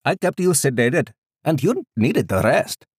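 Man voicing an old Indian doctor, high-pitched. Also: big pitch swings, measured pace, husky, no room sound, studio quality, heavily acted. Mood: matter-of-fact. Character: old frail male doctor, kindly, brisk, repetitive. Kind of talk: audiobook character dialogue.

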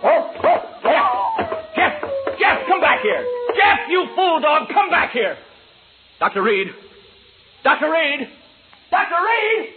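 An actor playing a nervous, chatty skeptic broadcasting from a haunted house in a 1940s radio drama, addressing listeners directly. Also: male voice, very high-pitched, huge pitch swings, slow pace, pauses often, music in the background, exaggerated voice. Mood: frantic calling. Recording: muffled, noisy 1940s radio recording.

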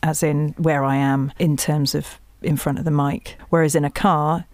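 A woman's voice: sits low, level tone, normal speed, darkish tone, no echo. A woman with a southern English accent chatting casually in an interview, in a jokey, agreeable way. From England. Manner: jokey, agreeable, laughs often. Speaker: voice actor and audiobook narrator.

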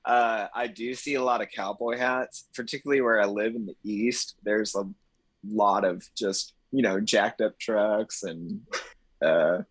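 A man from Texas with a light Texan accent that shows in some drawn-out vowels. He chats in a relaxed way and laughs easily. His voice is recorded dry and close. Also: fairly low pitch, no echo. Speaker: conversation partner. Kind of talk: casual chat.

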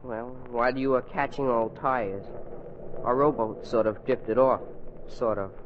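A droll teenage sidekick played by a man, dry and literal-minded, at a middling pitch. The vintage radio comedy recording is dull with faint noise. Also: fairly even intonation, unhurried, close to an everyday voice. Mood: wry. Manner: dry, wisecracking. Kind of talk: 1940s radio comedy acting. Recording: muffled, noisy 1940s radio broadcast.

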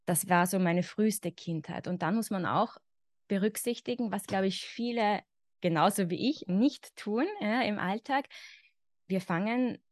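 A woman's voice: medium pitch, unhurried, dry recording. A woman in her thirties with an Austrian German accent, a guest expert talking reflectively in a podcast conversation and often saying "genau" and "ja".

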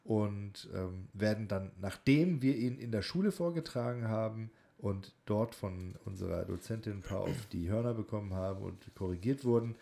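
A man's voice: fairly low pitch, lively intonation, normal speed, creaky, hardly any pauses, mellow. A man co-hosting a podcast, chatting in an informal, bantering way. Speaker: podcast co-host; student in a speech training class. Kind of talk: casual podcast chat with tongue-twister game.

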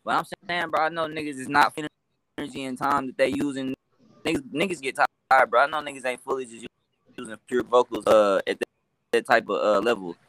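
A man talks in halting bursts full of fillers. His voice is bright and forward, recorded close and dry with no room sound. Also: mid-range voice, steady tone, no room sound, rough recording. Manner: halting, filler-heavy opinions.

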